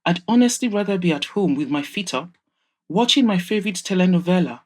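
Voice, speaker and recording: Audio drama: rich, sharp-tongued mother of an adult son, acted by a woman, low register, emphatic and reproachful. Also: expressive, a little fast. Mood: sarcastic, irritated. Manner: scolding, sarcastic, emphatic.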